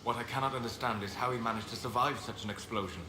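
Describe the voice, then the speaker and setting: An actor playing a calm, reserved elven mage advisor in a video game, speaking in measured, formal phrases. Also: male voice, medium pitch, natural voice. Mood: measured, courteous. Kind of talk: video game dialogue acting. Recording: roomy echo, clear background noise.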